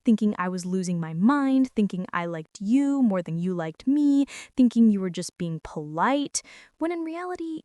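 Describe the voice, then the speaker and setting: A woman's voice: fairly high pitch, warm tone, no room sound, clean studio sound, lightly acted. A woman playing a bossy, flustered college girl confessing a secret crush in a dramatic, teasing girlfriend ASMR roleplay. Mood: indignant, overwhelmed. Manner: dramatic, teasing, breathy whispers mixed with indignant exclamations.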